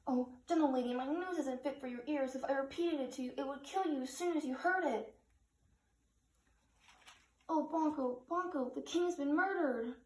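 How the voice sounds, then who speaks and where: Woman as an urgent high-voiced nobleman, echoey. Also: normal speed, natural voice. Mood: grave, shocked. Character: earnest nobleman raising alarm in a puppet play. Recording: roomy, echoing room sound.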